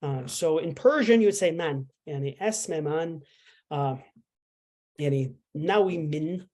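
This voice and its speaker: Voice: mid-range voice, slow pace, mellow. A language instructor giving a casual online lesson, explaining letters in a chatty way with fillers and playful asides.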